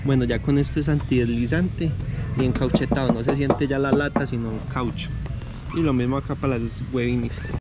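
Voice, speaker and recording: A man's voice: medium pitch, normal speed, highs cut off, heavy background noise. A man, chatting casually to viewers as he fits parts to a motorcycle outdoors. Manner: relaxed, chatty, addresses viewers as "gente". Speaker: motovlog host. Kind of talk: casual how-to narration. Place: outdoor parking lot. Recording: music playing behind.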